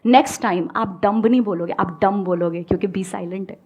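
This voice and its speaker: A young woman speaking on stage, giving calm, coaxing advice to an audience with everyday examples. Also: medium pitch, brisk, slightly rough, faint music behind. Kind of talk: motivational talk and audience Q&A. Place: stage before a live audience.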